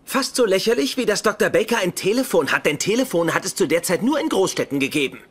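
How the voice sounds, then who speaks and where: An actor playing a pedantic, petty young genius in a dubbed sitcom, speaking with smug precision. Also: male voice, sits high, sing-song, fast, fairly bright tone. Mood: smug, sarcastic. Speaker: sitcom character. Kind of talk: German-dubbed sitcom acting. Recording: sitcom soundtrack with audience laughter, noisy.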